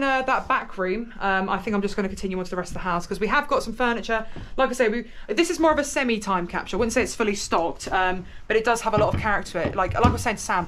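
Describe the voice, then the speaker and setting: A young British woman hosts an exploring vlog inside an abandoned house. She is chatty and excitable, gushing over every find. Her voice sits in a middle pitch, with a faint hiss behind it. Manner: excitable, chatty, exclaims often. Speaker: urban explorer host. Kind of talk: casual exploring vlog chat.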